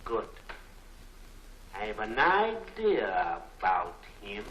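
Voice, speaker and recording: An actor playing a menacing, imperious male crime boss in a 1940s film serial, speaking deliberately to his henchmen. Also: lowish voice, huge pitch swings, fairly bright tone, heavily acted. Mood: cold, threatening. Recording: echoey, faintly noisy 1940s film soundtrack.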